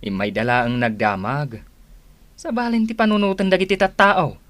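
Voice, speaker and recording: An actor with a Filipino accent playing a curious, deferential driver in a radio drama. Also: male voice, fairly high pitch, normal speed, a bit bright, close to an everyday voice. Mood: gossipy. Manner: deferential, inquisitive. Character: curious, friendly male driver at a terminal.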